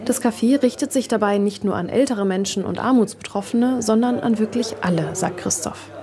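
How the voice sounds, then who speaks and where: A woman's voice: mid-range voice, a little fast, a crowd in the background. A woman reporter reads scripted narration for a radio reportage.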